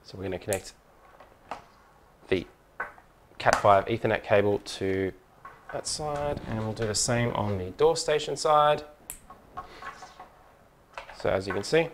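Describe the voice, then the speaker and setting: A man's voice: mid-range voice, a little hiss or hum. A man presenting a hands-on product tutorial in an informal, methodical, step-by-step way.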